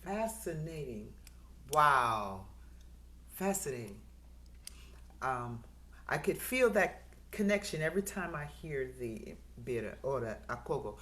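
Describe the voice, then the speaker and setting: Female event host, low register, conversational and openly admiring. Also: sing-song, takes it slowly, long pauses, a bit bright. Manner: effusive, admiring. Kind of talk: conversational online event hosting.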